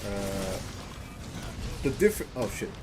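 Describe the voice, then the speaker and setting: A man's voice: lowish voice, sing-song, very slow, over music, poor audio. Rambling streamer man. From Brazil. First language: Portuguese. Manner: rambling and chuckly, with frequent "uh" fillers and restarts. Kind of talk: casual live-stream chat over gameplay.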